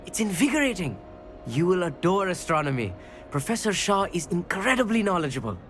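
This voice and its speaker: A studious teenage schoolboy in a video game. He speaks politely and earnestly, with lively, rising and falling intonation. Light music plays behind his eager voice. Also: high voice, fairly quick. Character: enthusiastic, studious teenage stargazing schoolboy named Amit. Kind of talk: video game dialogue acting.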